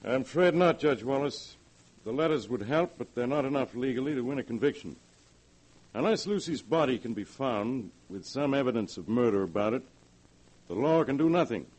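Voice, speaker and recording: A man playing a methodical prosecuting attorney in a 1940s radio drama, formal and deliberate in manner. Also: medium pitch, expressive, a little mellow, breathy edge, poor audio. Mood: grave, official.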